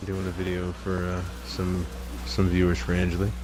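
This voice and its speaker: A man's voice: low-pitched, completely flat delivery, normal speed. A man chatting casually with a teammate, easygoing and friendly. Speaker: teammate on voice chat. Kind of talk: casual chat. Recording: distant voice chat with loud noise.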